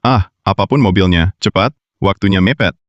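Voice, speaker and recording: A man's voice: low voice, normal speed, no room sound, lo-fi sound, close to an everyday voice. A young man voicing a curt, commanding company president in a dubbed drama. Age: young adult.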